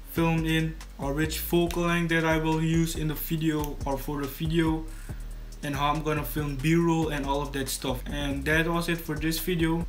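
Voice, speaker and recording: Teenage male vlogger from Suriname, native Dutch speaker; monotone delivery, casual tutorial read. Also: fairly high pitch. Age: teens. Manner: casual, repeats and restarts words. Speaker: YouTube creator. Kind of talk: scripted tutorial vlog to camera. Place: small bedroom studio indoors.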